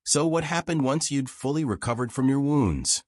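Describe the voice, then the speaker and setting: A man's voice: medium pitch, expressive, normal speed, no room sound, clean studio sound, voice pushed up, lightly acted. A man voicing an eager, curious young woman who hopes to write, speaking politely and inquisitively in audiobook dialogue.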